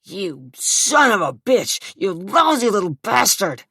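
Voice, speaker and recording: A woman's voice: deep voice, animated, measured pace, a bit bright, dry recording. A woman voicing a big, brutal male attacker who snarls and barks orders. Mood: menacing.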